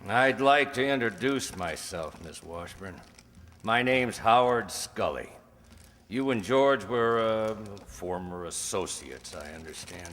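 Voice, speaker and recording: A man acting in an old-time radio crime drama as a polite, persistent drug dealer pitching like a salesman. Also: animated, slow pace, fairly bright tone. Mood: polite, ingratiating. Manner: polite, insistent salesman's patter. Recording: long reverb, hall-like room.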